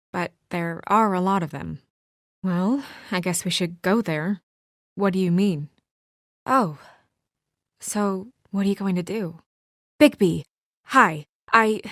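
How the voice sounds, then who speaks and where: A woman's voice: mid-range voice, dry recording, clean studio sound, natural voice. A woman playing an earnest, businesslike young woman with office authority and a dryly exasperated edge, in naturalistic video game acting. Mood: serious, cautious.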